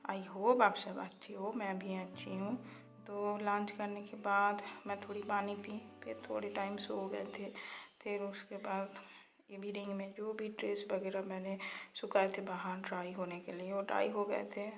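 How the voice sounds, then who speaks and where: A woman with a slightly hoarse throat, narrating her daily routine in a casual, chatty vlog style with English words mixed in. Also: medium pitch, level tone, unhurried, soft background music. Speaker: vlogger.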